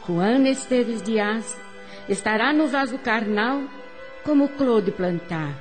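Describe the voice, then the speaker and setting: A woman's voice: on the high side, animated, takes it slowly. A woman reading radio drama narration in a solemn, declamatory way. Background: music.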